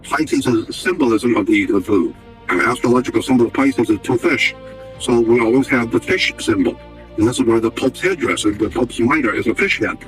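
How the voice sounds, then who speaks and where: A man's voice: mid-range voice, moderate pace, soft background music, poor audio. A man giving an explanatory history lecture, assertive and didactic, restating his key words.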